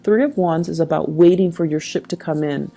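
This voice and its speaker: A woman's voice: sits low, brisk, gravelly, dark tone. A woman giving a tarot reading to her viewers, speaking in a reassuring way and often checking in with the listener. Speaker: tarot reader.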